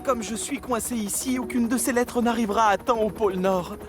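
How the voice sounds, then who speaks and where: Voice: mid-range voice, fairly even intonation, a little fast, lightly acted. An actor voicing an earnest, touchy young male steam engine in a French cartoon, emotional and plaintive. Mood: dejected. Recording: music behind the voice.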